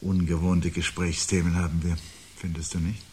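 An uneasy husband in a seventies radio play. His voice is very low and husky, and he speaks deliberately, brooding over each word as if disaster were near. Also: level tone, darkish tone. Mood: tense, dry. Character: uneasy, brooding husband sensing a looming catastrophe. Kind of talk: 1970s radio drama acting.

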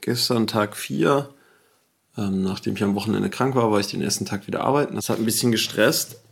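A man recording a casual spoken diary, pausing to think as he recounts his days. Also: fairly low pitch, creaky.

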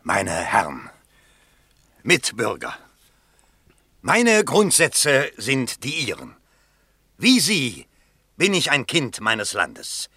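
An anxious, opportunistic parliamentary candidate in a radio play. His husky voice is forceful and slow, swinging hugely from muttered worry to pompous declamation. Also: medium pitch, huge pitch swings, dry recording, clean studio sound, heavily acted. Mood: declamatory. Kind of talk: German radio play acting.

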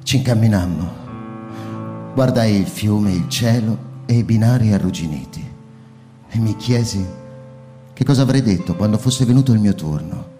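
An actor playing a shaken male survivor of a city bombing, who narrates a radio drama and either cries out his memories urgently or speaks in a hushed, slow voice. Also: lowish voice, close to an everyday voice. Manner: urgent, near-shouted remembering, then hushed and slow. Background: music.

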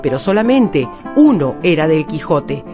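A woman presents scripted, informative radio narration. Her slightly low voice has lively intonation, with guitar music clearly playing behind. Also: measured pace.

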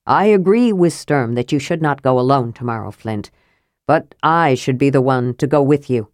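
Casting: weary, sensible half-elf man, low-voiced a woman narrator performing, storybook fantasy. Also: lively intonation, normal speed, a little mellow, no echo, clean studio sound. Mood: firm reasoning. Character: cautious, level-headed male half-elf leader, practical and weary.

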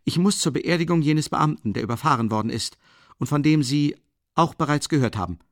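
A man voicing an irritable young male student who speaks in clipped, sharp, nearly shouted demands. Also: on the high side, brisk, no room sound, studio quality, pitched above the performer's own voice, close to an everyday voice. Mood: irritated.

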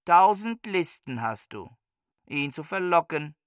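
An actor in a German radio play playing a fanatical, bookish scholar who speaks in solemn, pompous oratory. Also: male voice, fairly high pitch, sing-song, unhurried, fairly bright tone, no echo, studio quality. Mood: rousing, martial. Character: fanatical bookish male scholar haranguing his books like troops.

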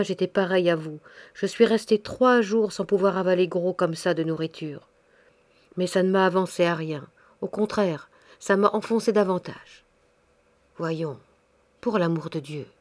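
A bustling old neighbor woman with a gruff, bossy way of fussing over people, as a woman narrator puts her on. Her voice sits a little low, and she gives orders and comfort in the same brusque breath, like a storybook busybody with a soft heart. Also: lightly acted. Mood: coaxing.

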